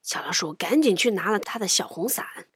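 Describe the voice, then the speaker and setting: Animated woman telling a children's story. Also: medium pitch, fairly quick, heavy background noise. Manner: playful, dramatic, often dropping to whispers. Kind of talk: expressive picture-book narration.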